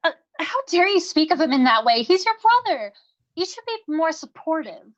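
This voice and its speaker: Woman acting high-voiced sweet young goddess, muffled audio. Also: big pitch swings, normal speed, a bit bright. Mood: earnest. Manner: earnest, hesitant, girlish. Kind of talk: live staged reading of a comic play.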